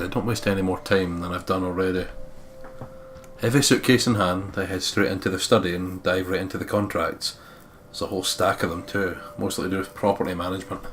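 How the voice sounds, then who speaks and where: A man's voice: deep voice, a little hiss or hum. A man hosting a let's play, reading visual novel narration aloud with some casual commentary.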